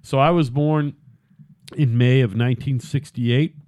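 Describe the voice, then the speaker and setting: A man's voice: medium pitch, steady tone, unhurried, darkish tone, dry recording. Podcast host, male, 50s, loose and profane, dry close sound. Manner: rambling, swears freely. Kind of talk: casual chat.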